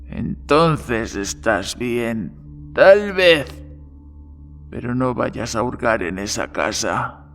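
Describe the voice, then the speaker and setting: A man playing a wary old man in a horror story, terse and halting. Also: medium pitch, animated, fairly bright tone, faint music behind, full character voice. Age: older. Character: wary old man warning of danger in a desert bar.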